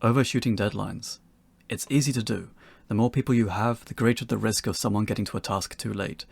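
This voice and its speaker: A man's voice: lowish voice, fairly even intonation, brisk, gravelly, slightly rough, dry recording. A man narrating a scripted tutorial in an articulate way with wry phrasing. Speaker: game developer giving a tutorial.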